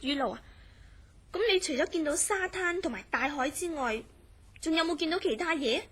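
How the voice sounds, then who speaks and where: An actress playing a curious, easily astonished woman in a horror radio drama, speaking in an expressive, questioning way. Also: sits high, normal speed. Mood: curious, puzzled. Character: curious, astonished woman recounting a friend's strange dreams. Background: background music.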